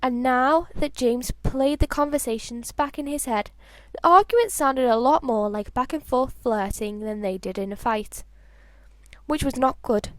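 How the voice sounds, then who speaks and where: High voice woman reading a fanfic out loud, amateur audiobook style, expressive, dry sound. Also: normal speed, dry recording. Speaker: fan fiction narrator.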